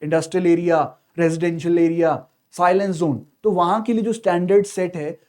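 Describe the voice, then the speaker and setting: This is a man with an Indian accent and a notably high-pitched voice. He lectures like an exam coach, didactic and explanatory. He often sets up a question, answers it himself and checks in with short tags. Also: fairly even intonation, normal speed, darkish tone. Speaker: civil services exam teacher.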